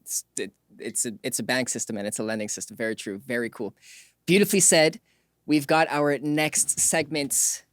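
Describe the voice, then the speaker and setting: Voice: mid-range voice, steady tone, normal speed, no room sound, clean studio sound. A podcast host speaking conversationally, affirming the guest and introducing segments. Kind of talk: conversational interview hosting.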